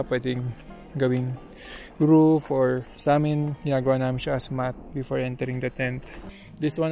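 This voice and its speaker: Man, gravelly low-energy voice, flat delivery, listing gear outdoors, music underneath. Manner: listing gear item by item, explaining each. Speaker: family camping vlogger. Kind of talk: casual show-and-tell vlog. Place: outdoor forest campground.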